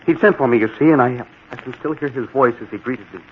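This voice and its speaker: A man's voice: mid-range voice, fast talker, close to an everyday voice. An actor playing a frightened, earnest young man in an old-time radio drama, anxious and pleading. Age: young adult. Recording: muffled, hissy old radio recording.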